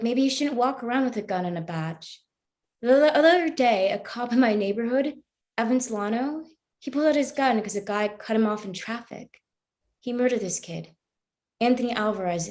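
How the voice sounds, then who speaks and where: A woman's voice: fairly high pitch, normal speed, a little mellow, close to an everyday voice. A woman playing a morally troubled woman who questions a cop earnestly and persistently in a live play reading. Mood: probing.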